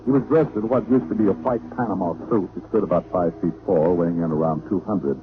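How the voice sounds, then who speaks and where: An actor playing a cool, wry hard-boiled private detective in 1940s radio noir style. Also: male voice, low voice, quick pace, close to an everyday voice. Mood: wry narration. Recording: noisy narrow-band old radio recording.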